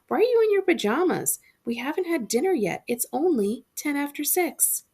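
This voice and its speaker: A woman's voice: high-pitched, big pitch swings, moderate pace, dark tone, pitched above the performer's own voice. A woman voicing puzzled parents who question their child incredulously in a storybook reading. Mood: amused disbelief.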